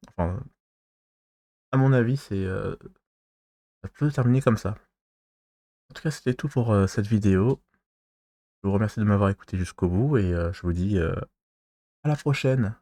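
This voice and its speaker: A man from France giving advice in a casual monologue to his viewers, halting and rambling, pausing constantly and losing his thread. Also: on the low side, creaky, darkish tone, no echo, clean studio sound. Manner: loses his thread mid-talk. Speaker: vlogger giving dating advice.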